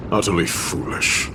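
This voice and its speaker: An actor playing a curt, disdainful, brooding stranger in a video game, speaking with weary contempt. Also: male voice, noisy background. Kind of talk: video game character acting.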